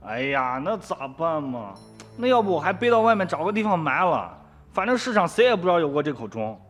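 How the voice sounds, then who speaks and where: A man's voice: a little high, big pitch swings, fairly quick, fairly bright tone, soft background music. An actor, sighing and pleading with his mother. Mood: whining. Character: poor young village man, guilty and pleading. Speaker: son. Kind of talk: radio drama acting.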